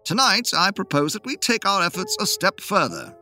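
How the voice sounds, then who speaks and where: A man reading the part of an earnest Victorian gentleman who leads a rationalist dining club, speaking in a declamatory manner. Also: high voice, wildly swooping pitch, moderate pace, soft background music, pitched above the performer's own voice, heavily acted. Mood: persuasive.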